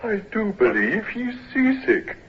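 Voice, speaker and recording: A man playing a taunting young shipmate with a shrill, slow delivery in a 1950s radio drama. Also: wildly swooping pitch, exaggerated voice. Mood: gleeful taunting. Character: jeering young shipmate mocking a seasick newcomer. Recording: muffled, noisy vintage radio recording.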